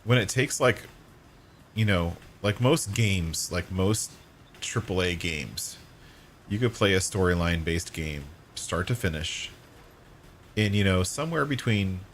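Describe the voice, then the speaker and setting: A man with a hoarse voice, chatting casually and rambling while streaming a game. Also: sits low, a little slow, a little hiss or hum. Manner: rambling, self-correcting, relaxed. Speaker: gaming streamer. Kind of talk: casual live-stream chat while playing.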